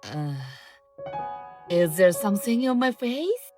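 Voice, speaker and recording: A woman's voice: medium pitch, very animated, slow pace. A woman playing an eager, polite and inquisitive young woman in an audio drama, with music behind her. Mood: curious, hesitant. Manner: bright-eyed, inquisitive, helpful.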